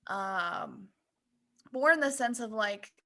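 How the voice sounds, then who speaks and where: A woman co-hosting a podcast in relaxed, casual group conversation. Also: a little high, slow. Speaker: podcast co-host.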